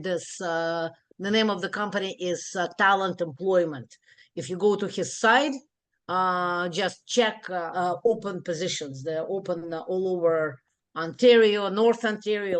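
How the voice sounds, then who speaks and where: A woman hosting an online networking event over a video call, upbeat and halting, with many fillers. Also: on the low side.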